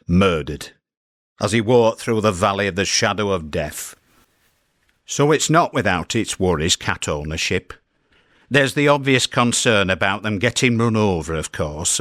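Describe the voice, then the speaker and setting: British male cat owner played by an actor, chatty and wry, very lively intonation, close dry sound, comic radio monologue. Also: lowish voice, no echo. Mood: aggrieved, mournful, then wistful. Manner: wry, conversational storytelling with emphatic swoops. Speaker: actor performing a comedy monologue.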